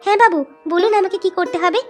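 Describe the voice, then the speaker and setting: High-pitched cartoon village wife, played by a woman, eager, respectful, music underneath. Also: fairly even intonation, fast, darkish tone. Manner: deferential, eager. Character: eager, deferential young village wife and home cook. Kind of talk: cartoon story voice acting.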